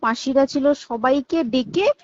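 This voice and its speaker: High-pitched Bengali woman on a muffled call. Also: a little slow, dry recording. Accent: Bengali from West Bengal. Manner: emphatic, explanatory, checks listener is following. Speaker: hospital staff member. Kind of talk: casual phone conversation recounting events. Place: recorded phone call. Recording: dull lo-fi phone call recording.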